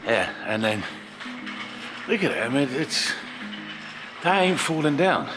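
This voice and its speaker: Excitable British man with music behind. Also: mid-range voice, measured pace, low-quality recording. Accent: British English. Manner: chatty, excitable, talks while walking. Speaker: vlogger house hunting. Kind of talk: casual travel vlog. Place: outdoors in town streets. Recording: music playing underneath.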